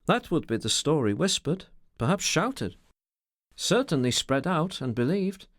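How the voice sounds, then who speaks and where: A man voicing a brooding, sardonic, educated gentleman who speaks in a measured, ironic and formal way, for an audiobook. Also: fairly high pitch, big pitch swings, no room sound, clean studio sound, voice pushed up.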